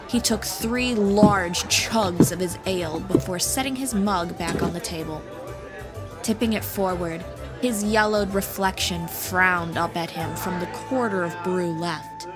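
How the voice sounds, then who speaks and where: A woman's voice: lowish voice, a little slow. A woman reading a scripted fantasy story as an audiobook narrator. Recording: tavern crowd and music behind narration. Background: music and tavern crowd ambience.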